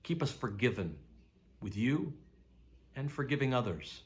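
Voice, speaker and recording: Guy reading bible passage out loud, really animated pitch swings, emphatic. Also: measured pace. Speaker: scripture reader at a church. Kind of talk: expressive scripture reading.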